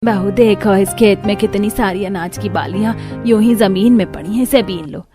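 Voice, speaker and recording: A woman playing an older, aggrieved mother-in-law in an animated family story. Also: medium pitch, normal speed, over music, lightly acted. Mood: instructing. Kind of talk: Hindi animated story dialogue.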